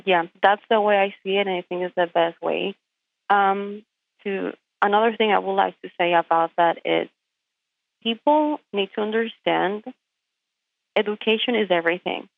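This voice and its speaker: A woman's voice: medium pitch, moderate pace, vocal fry. A woman with a Puerto Rican accent, whose first language is Spanish, is a guest on an interview by phone. She makes her case directly and with emphasis, often asking rhetorical questions. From Puerto Rico. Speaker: truck driver, interview guest. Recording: tinny phone line.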